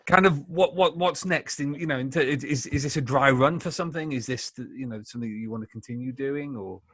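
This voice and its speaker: A man's voice: medium pitch, a little fast, fairly bright tone, slightly rough, no echo. Hesitant man interviewing, very dry sound. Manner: hesitant, circling towards his questions. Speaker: podcast interviewer. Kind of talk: casual interview questioning.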